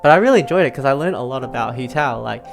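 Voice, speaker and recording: A woman with a very low voice gives casual gameplay commentary. She muses aloud informally as she reacts to a story, with faint music behind her. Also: lively intonation, very fast, creaky. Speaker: game streamer reacting to a story quest.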